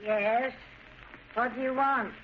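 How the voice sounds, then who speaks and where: A woman's voice: on the high side, steady tone, takes it slowly. An actress playing a worn-out, plaintive middle-aged mother in old radio melodrama style. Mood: bleak, despairing. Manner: plaintive, overwrought. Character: despairing, worn-out mother of two criminal sons. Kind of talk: 1940s radio melodrama acting. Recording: noisy, muffled old radio recording.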